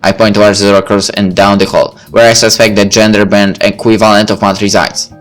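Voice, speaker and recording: A man's voice: on the low side, little pitch movement, a little fast. A man reading a visual novel aloud as narration, stumbling over the odd word, with music behind him. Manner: stumbles over and rereads words. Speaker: reader playing through a visual novel. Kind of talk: narrated read-aloud of a story game.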